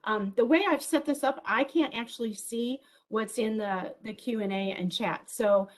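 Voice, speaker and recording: A woman with a big voice giving an enthusiastic virtual conference keynote, lively and peppered with ums and small jokes. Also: fairly high pitch, moderate pace. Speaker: keynote speaker.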